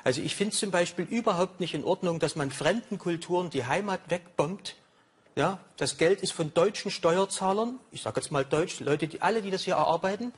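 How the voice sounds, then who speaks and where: Agitated husky man on a talk show. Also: high-pitched, expressive, fairly quick. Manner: agitated, emphatic. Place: TV studio with audience.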